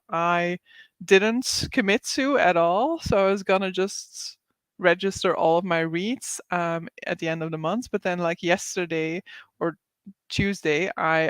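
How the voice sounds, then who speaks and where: A woman's voice: on the low side, smooth, no echo. A woman hosting a casual livestream reading sprint, reading viewer comments aloud, chatting with frequent laughs, likes and ums.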